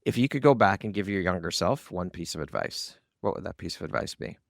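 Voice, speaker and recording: Deep-voiced, gravelly male podcast host. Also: lively intonation, brisk, no room sound, clean studio sound. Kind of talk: interview hosting with polished outro.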